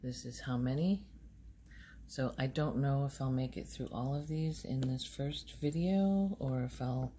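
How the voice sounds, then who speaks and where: A woman hosting a soft-spoken ASMR video, talking casually in a gentle, hushed and unhurried manner. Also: a bass voice, slight background noise. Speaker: host of a relaxation video channel.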